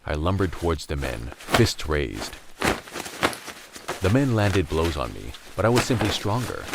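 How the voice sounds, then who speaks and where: A man's voice: a bass voice, vocal fry, background noise, full character voice. A man acting as a weary, wry young survivor, narrating a radio drama in the first person. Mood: gritty, defiant. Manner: wry, brooding, world-weary. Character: weary, gritty young male survivor narrating a post-collapse story.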